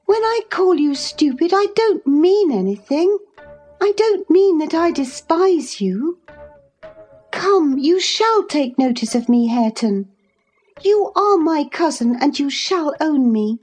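Lady doing a petulant young girl from an old novel, high voice, insistent and pleading. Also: expressive, slow, a little mellow, faint music behind. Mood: pleading, persistent. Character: petulant, pleading young woman, proud but tearful.

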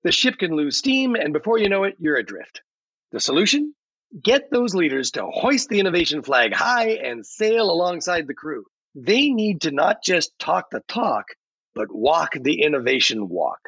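Man playing a theatrical, animated AI chatbot, roomy sound. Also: a little high, breathy edge, exaggerated voice. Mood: theatrical, playful. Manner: theatrical, playful, salesy delivery with dramatic pauses. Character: chatty, theatrical AI chatbot guest with pirate flair. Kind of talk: scripted podcast answers full of metaphors. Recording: slightly roomy, dull lo-fi audio.

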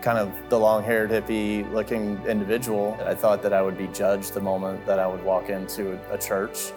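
A man from Central Florida sharing a soft-spoken, hesitant testimony. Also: on the low side, little pitch movement, moderate pace. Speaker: church member giving testimony. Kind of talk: testimony interview. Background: guitar music.

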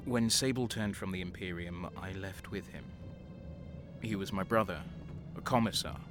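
An actor playing a bitter, violent male renegade guardsman, narrating slowly in the first person. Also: sits low, lightly acted. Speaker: narrator and lead. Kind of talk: audio drama narration. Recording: music underneath.

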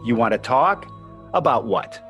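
An actor playing an aggrieved, sentimental ex-husband and sports fan in a radio play, speaking plaintively. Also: male voice, medium pitch, expressive, a little slow, a bit bright, dull audio, soft background music. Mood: indignant.

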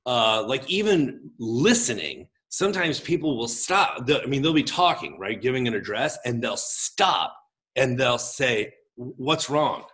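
A man in his forties with a big voice, telling his own story as a pastor and guest in an expressive, gregarious, halting conversational way. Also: medium pitch. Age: 40s. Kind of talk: reflective personal storytelling in conversation.

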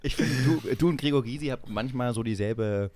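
A man's voice: medium pitch, brisk, darkish tone. A man co-hosting a casual banter podcast, teasing and playfully mock-enthusiastic. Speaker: podcast co-host.

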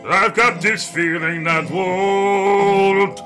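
A man's voice: sits high, fairly even intonation, drawn-out words, sharp tone. A man singing a pop ballad. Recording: music backing behind the voice.

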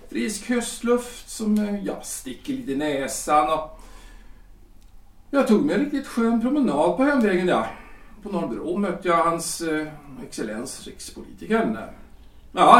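Gustav, a man acting a high-voiced, rambling politician husband. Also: a bit bright, far from the mic. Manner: hesitant, rambling, stammering, self-satisfied. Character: Gustav, chatty, self-important 43-year-old lawyer-politician husband. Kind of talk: period stage-play audio drama. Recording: echoey room, off the mic.

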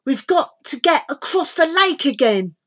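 A woman voicing alarmed, excitable children in a storybook adventure, shouting urgently. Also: high voice, unhurried, voice pushed up, heavily acted.

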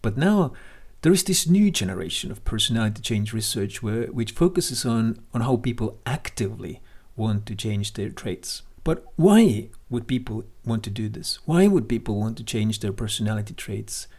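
A man hosting a podcast, speaking in a measured way and setting up questions for his guests. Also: lowish voice, animated, darkish tone. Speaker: podcast host.

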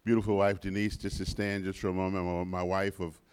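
An older man in his sixties, deep and low-pitched, delivers a sermon as a visiting pastor. He leans on repetition and swings between soft and loud passages. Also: little pitch movement, fairly quick, slight background noise. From United States. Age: 60s. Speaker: guest pastor. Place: church sanctuary.

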